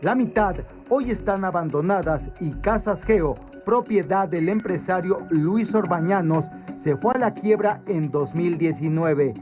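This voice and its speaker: A man with a high voice reads a news report in a measured, emphatic way. Faint music plays under his voice. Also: darkish tone. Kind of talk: scripted news narration.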